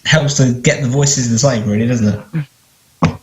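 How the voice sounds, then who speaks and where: A man's voice: mid-range voice, unhurried, a loud crowd, poor audio. A man with a British English accent chatting casually and jokingly as a podcast guest. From United Kingdom. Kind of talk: casual chat.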